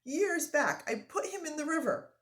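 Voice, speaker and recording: A woman's voice: sits high, lively intonation, moderate pace, a bit bright, pitched above the performer's own voice. A woman voicing an exuberant French-Canadian lumberjack in a storybook, with a put-on French accent and emphatic broken English. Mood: excited, amazed.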